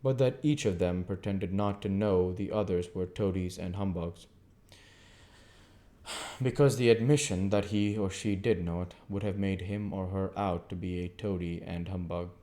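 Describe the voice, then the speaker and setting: Male amateur audiobook reader, low register, very soft dark tone, narrating classic fiction. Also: normal speed. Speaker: audiobook reader and channel host.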